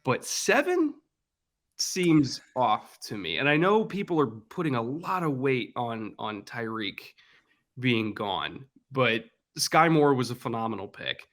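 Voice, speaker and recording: Male guest analyst, mid-pitched, confident and opinionated, chatty delivery. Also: sing-song, a little slow, fairly bright tone. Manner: confident, opinionated, explains at length. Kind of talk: casual sports analysis chat.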